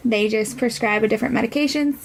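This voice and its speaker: A woman's voice: fairly high pitch, fast, creaky, slight background noise, low-quality recording. A woman explaining as an interview guest, direct and matter-of-fact. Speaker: guest from a suicide-loss nonprofit.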